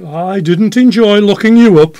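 A man's voice: high voice, normal speed, mellow, no room sound, lightly acted. A man playing an indignant, disapproving police inspector in an audio drama, blunt and scolding. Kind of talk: audio drama staged as a podcast.

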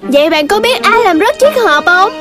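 A woman's voice: very high-pitched, animated, normal speed. A woman voicing a small, earnest animal child in a children's cartoon, inquisitive and childlike. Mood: friendly. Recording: slightly distant voice with music behind.

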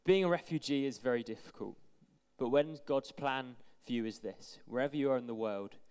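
A man's voice: mid-range voice, fairly quick, darkish tone, smooth. A young man on a church stage, earnestly reading out a friend's testimony into a handheld mic. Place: large church hall with stage. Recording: long hall reverb.

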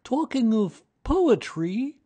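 A man voicing a gentle, simple-minded bear in a storybook reading, hesitant and earnest. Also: very high-pitched, very animated, voice pushed up, heavily acted. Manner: hesitant, earnest, slow-spoken.

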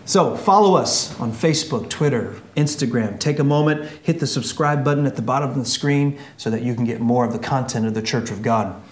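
A middle-aged man co-hosting a church program, measured and formal in phrasing. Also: medium pitch. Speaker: minister co-hosting and closing the program. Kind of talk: church discussion and program outro. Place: small indoor studio with a wall screen. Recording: roomy studio echo.

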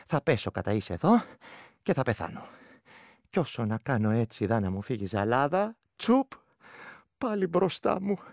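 A man's voice: mid-range voice, no room sound, studio quality. A man playing a rural Greek village soldier in a radio play, recounting his terror in earthy dialect with a rural accent. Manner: earthy dialect storytelling, shifting between hush and outburst. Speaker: actor. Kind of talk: dramatic first-person storytelling in a radio play.

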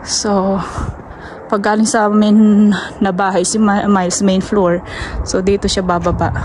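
A woman with a Filipino accent chats casually to viewers as she gives a house tour, halting now and then and mixing in English words. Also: medium pitch. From Philippines. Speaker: vlogger, homeowner giving a house tour. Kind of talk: casual vlog chat to viewers. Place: walking through a large house basement and outdoors in snow. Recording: noisy handheld recording on the move.

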